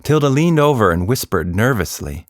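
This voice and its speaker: A man narrating a scripted children's story in an expressive, deliberate storytelling manner. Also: medium pitch, no echo, studio quality. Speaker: podcast narrator.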